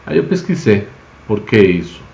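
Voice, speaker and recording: A man's voice: lowish voice, sing-song, normal speed, creaky, a little mellow, reverberant, lo-fi sound, a little hiss or hum. A man from Spain, a native Spanish speaker with a Spanish accent, explains words with examples in an informal live language lesson as the teacher. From Spain, Basque Country.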